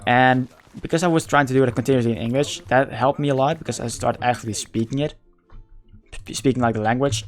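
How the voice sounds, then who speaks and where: A male streamer whose first language is Dutch chats casually in English with a lingering Dutch accent. His pitch sits in the middle of the male range. He talks haltingly, restarting phrases as he hunts for words, over faint background music. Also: steady tone. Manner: halting, searching for words, many repeated starts. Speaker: livestreamer. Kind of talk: casual livestream chat.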